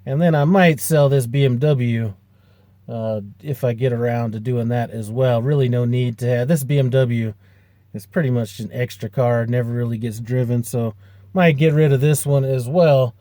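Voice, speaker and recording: A man's voice: medium pitch, steady tone, normal speed, dark tone, no room sound. A man in his fifties, a truck driver, chatting casually to camera in a rambling way with lots of fillers and restarts. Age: 50s. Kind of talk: casual vlog chat.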